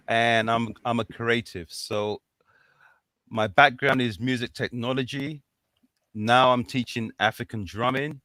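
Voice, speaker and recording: A man's voice: fairly low pitch, bright, forceful tone, no room sound, studio quality. A man from Ghana who is now based in the UK, joking easily as a guest on an online show. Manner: jokey, easygoing. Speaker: guest, event co-organizer. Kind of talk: casual interview.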